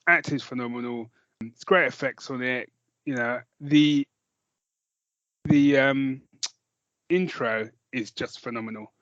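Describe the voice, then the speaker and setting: A man's voice: mid-range voice, slow pace, long pauses, fairly bright tone, no echo, lo-fi sound. A man co-hosting a podcast in casual chat, jokey and self-mocking, with some swearing. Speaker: podcast co-host. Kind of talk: casual chat, recommending audio dramas.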